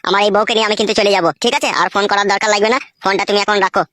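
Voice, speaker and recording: A woman's voice: medium pitch, fairly even intonation, racing, a bit bright, no room sound, clean studio sound, natural voice. A woman playing a crude, swaggering husband in a comedy cartoon, with a coarse, bossy way of talking. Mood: appeased. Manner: coarse, brash, bossy, swearing. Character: crude, swaggering young husband with two wives.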